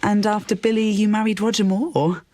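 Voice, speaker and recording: An actress playing a cool female radio interviewer, smoothly probing and provocative, in a radio play. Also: medium pitch, steady tone, moderate pace, noisy background, natural voice. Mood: bright, probing.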